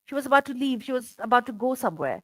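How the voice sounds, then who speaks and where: A middle-aged woman interviewing over a video call, chatty and halting, filling her talk with "you know" and restarts. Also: fairly high pitch, animated, fairly bright tone, no echo. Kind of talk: casual conversational interview.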